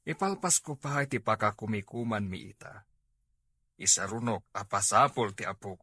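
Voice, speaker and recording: Man playing husky, low-voiced Filipino family father. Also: animated, moderate pace, pushed and bright, dry recording, heavily acted. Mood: concerned. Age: older. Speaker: actor in an audio drama. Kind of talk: comedy-drama acting.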